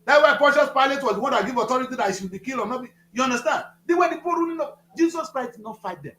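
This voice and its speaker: A man's voice: very high-pitched, very fast, bright, forceful tone, reverberant, slight background noise. A Nigerian man in his fifties, speaking as a studio guest with a preachy, emphatic manner, repeating phrases for effect. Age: 50s. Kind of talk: passionate political talk.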